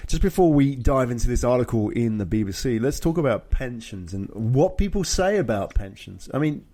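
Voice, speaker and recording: A man's voice: medium pitch, animated, moderate pace, mellow. A man with a British accent hosts a conversational discussion. He explains step by step and often punctuates his points with short check questions. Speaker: show host. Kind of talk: conversational discussion of personal finance.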